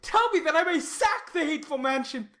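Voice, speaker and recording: Shakespeare audio drama: lovesick male youth voiced by a woman, high-pitched, distraught, theatrically anguished. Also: lively intonation, brisk, pushed and bright, heavily acted. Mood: frantic grief. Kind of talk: classical stage tragedy in audio.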